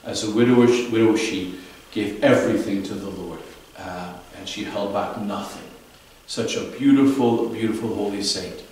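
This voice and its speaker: A man in his fifties, a priest giving a daily homily in a small chapel, telling a saint's story in a relaxed, conversational way, recorded by a camera across the room. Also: fairly low pitch, moderate pace, slight background noise. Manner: conversational storytelling, fillers and restarts. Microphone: far camera. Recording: echoey chapel from far off.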